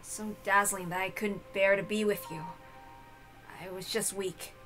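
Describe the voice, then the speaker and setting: Woman playing teenage servant boy, story character, sullen, shy, hushed, hesitant, earnest, lowered pitch. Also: flat delivery, unhurried, soft background music, natural voice. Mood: somber, confessional. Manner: subdued, quiet, hesitant.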